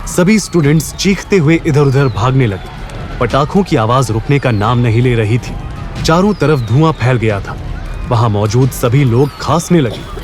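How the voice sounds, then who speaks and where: A man's voice: medium pitch, animated, moderate pace, over music. A man narrating an audio drama serial from a script in a suspenseful, dramatic storytelling style.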